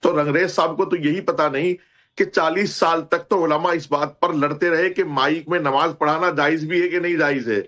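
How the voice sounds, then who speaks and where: A man with a moderately high voice argues on a television panel. He explains like a teacher, with emphasis, and repeats his key points firmly. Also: fairly even intonation, moderate pace, fairly bright tone. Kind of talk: explanatory argument on a TV debate.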